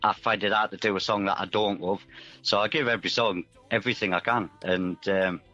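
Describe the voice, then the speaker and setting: A man with a lowish voice on a dull, narrowed line, with guitar music underneath. He talks casually as an interview guest, hesitant and earnest, reflecting as he goes. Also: fairly even intonation, brisk, sharp tone.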